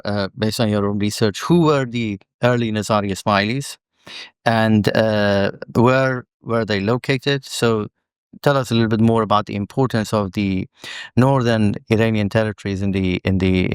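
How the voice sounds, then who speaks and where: A man hosting a formal academic interview, speaking in a measured, polite way and putting questions to a guest. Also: on the low side, clear, resonant tone, no room sound, clean studio sound.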